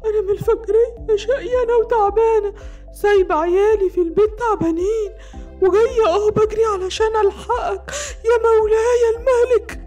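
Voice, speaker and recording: A woman's voice: very high voice, steady tone, a little fast, dark tone, soft background music, pitched above the performer's own voice. A woman with an Egyptian accent voicing a whiny, overworked animal mother in a children's story, wailing and complaining. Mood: tearful, weary. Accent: Egyptian Arabic.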